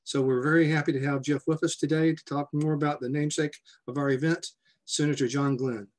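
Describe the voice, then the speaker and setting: A man's voice: on the high side, flat delivery, fairly quick. A man in his sixties opens a virtual symposium from a room at home, speaking through a video call. He is loose and jokey, with a few fillers as he reads out sponsors and introduces a guest. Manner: loose, joking, with filler words. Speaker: society president hosting a symposium. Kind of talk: casual conference welcome and introduction.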